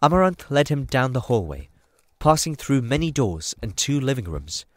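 A man's voice: medium pitch, big pitch swings, moderate pace, no room sound. A man giving scripted story narration for an audio drama. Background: music.

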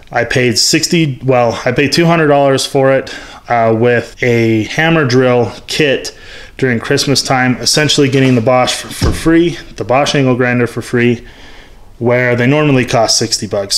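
Frank, rambling man reviewing shop tools. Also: mid-range voice. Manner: rambling, frank, lots of ums and restarts. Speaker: workshop YouTuber reviewing tools. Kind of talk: casual unscripted tool review. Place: metal-walled home workshop.

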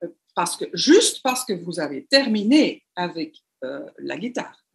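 A woman's voice: fairly low pitch, very animated, measured pace, gravelly. Hesitant woman lecturing, French not native. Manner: hesitant, many fillers and restarts. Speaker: university lecturer. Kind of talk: online lecture over slides.

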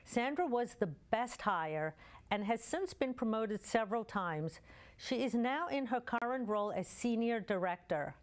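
A woman in her fifties hosting a parenting show from a studio. Her voice sits somewhat high, and she presents her introduction clearly and evenly, as if reading to camera. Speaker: show host. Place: studio with dark curtain.

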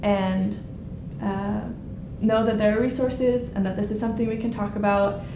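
A young woman giving a casual conference talk, pausing often with ums. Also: mid-range voice, fairly even intonation. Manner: hesitant, many ums. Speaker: conference speaker. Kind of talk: informal presentation. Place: conference room with projector screen. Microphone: handheld mic. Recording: echoey room, noisy.

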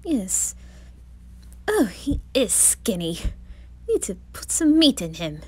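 A woman's voice: medium pitch, sing-song, takes it slowly, mellow, breathy edge, heavily acted. A woman voicing a flamboyant, gushing male grim reaper with a flirty, sing-song delivery in an ASMR roleplay. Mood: chatty, coaxing.

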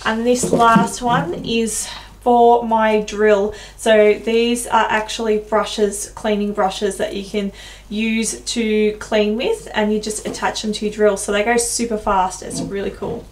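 A woman's voice: mid-range voice, flat delivery, slow. A woman, giving a casual, candid tour of her small laundry room as a home decor vlogger, filmed on a handheld camera. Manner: chatty, candid, self-deprecating. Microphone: handheld camera at close range. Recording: echoey small room, faint room hum.

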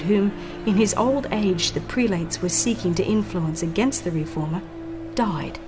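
A woman reading scripted dramatic audiobook narration in a formal, solemn and deliberate storytelling manner. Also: mid-range voice. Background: background music.

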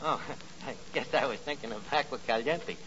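An actor playing a pretentious, dim, lovestruck tavern manager in 1940s radio comedy, mangling highfalutin phrases. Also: male voice, brisk, natural voice. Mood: flustered. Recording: muffled 1940s radio recording with hiss.

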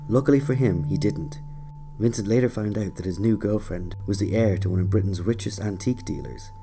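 A man's voice: fairly low pitch, brisk, creaky, warm tone, slight background noise. A man narrating a scripted first-person story in an audio drama. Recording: music under the voice. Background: music.

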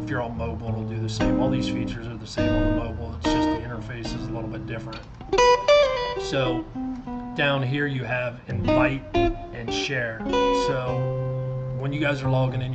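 Loud guitar music plays behind a middle-aged American man with a medium-pitched voice. He walks listeners through software in an informal, explanatory way. His delivery is that of a casual screen-share tutorial recorded at a desk. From United States. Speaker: tutorial presenter. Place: indoors at a computer desk.

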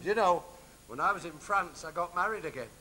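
Man playing a high-pitched jolly pantomime father. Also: fairly quick, bright, forceful tone. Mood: warm, genial. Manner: exclaiming, playful, projected to the audience. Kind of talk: stage pantomime acting. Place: large theatre stage. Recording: noisy theatre stage video with audience.

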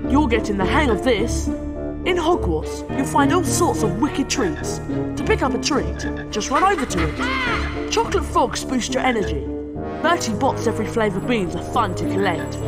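A woman voicing a cheeky, helpful British schoolboy wizard in a video game, eager and instructive. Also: sits high. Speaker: tutorial guide. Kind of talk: video game character acting.

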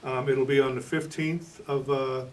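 A man in his sixties speaks as a writer being interviewed. His voice sits at an average male pitch. The microphone is a little way from him in an indoor studio. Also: level tone, a little slow, a little mellow, far from the mic. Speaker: novelist and playwright being interviewed. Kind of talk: interview answers.

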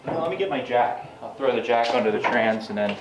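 A man working in a garage, chatting casually and thinking out loud as he goes. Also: mid-range voice, a little fast, over music. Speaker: garage host. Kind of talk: casual chat while working. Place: garage workshop. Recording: roomy, distant mic, clear background noise.

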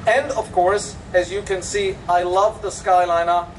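A man's voice: high voice, fairly even intonation, measured pace. Enthusiastic Austrian-accented man at an outdoor dedication. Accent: Austrian German. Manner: enthusiastic, emphatic, with frequent fillers. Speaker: resort executive giving a dedication speech. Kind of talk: public dedication speech. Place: outdoors at a public event. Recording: distant outdoor recording with faint background noise.

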